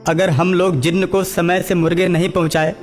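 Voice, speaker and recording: A man's voice: high-pitched, level tone, moderate pace, long reverb tail, voice pushed up, natural voice. A man voicing a cowardly thief in a cartoon story, pleading and making excuses. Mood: fearful warning. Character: cowardly, pleading male thief, afraid of a jinn. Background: background music.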